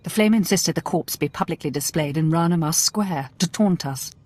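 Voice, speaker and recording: A woman's voice: low voice, normal speed, a little mellow. An actress playing a composed female imperial intelligence officer in a video game, giving briefings in a grave, clipped manner. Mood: stern. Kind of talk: video game character acting.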